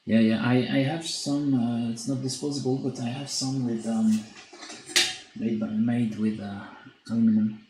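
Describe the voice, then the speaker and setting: A man with a French accent chatting on a live stream while he paints, reading chat and pausing often with fillers. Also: medium pitch, fairly even intonation. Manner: hesitant, many filler pauses, reads chat aloud. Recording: echoey room with faint background noise.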